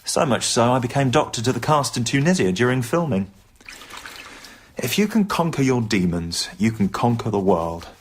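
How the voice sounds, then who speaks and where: An English actor playing an earnest, wry comedian and recovering drinker in a radio comedy drama. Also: male voice, medium pitch, big pitch swings, moderate pace, a little hiss or hum, close to an everyday voice. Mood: wry narration.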